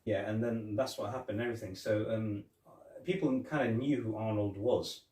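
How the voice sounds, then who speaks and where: A man's voice: lowish voice, fairly even intonation, moderate pace, a little mellow, far from the mic. A man co-hosting a casual movie review podcast. He rambles, restarting and repeating his words. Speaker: podcast co-host. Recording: echoey room, off-mic.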